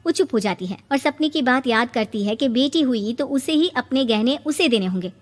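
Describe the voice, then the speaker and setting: A woman's voice: a little high, lively intonation, moderate pace. A woman narrating a scripted family story as a dramatic storyteller. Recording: long reverb, music behind.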